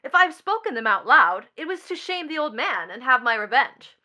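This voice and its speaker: Woman playing scornful, impatient young woman, stage melodrama: high, wildly swooping delivery, imperious and mocking. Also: sing-song, moderate pace, sharp tone, heavily acted. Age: young adult. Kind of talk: stage play acting.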